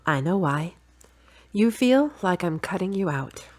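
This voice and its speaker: A woman's voice: fairly low pitch, expressive, measured pace. An actress playing a sensible, exasperated woman in audio drama dialogue, frank and articulate. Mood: concerned, gentle.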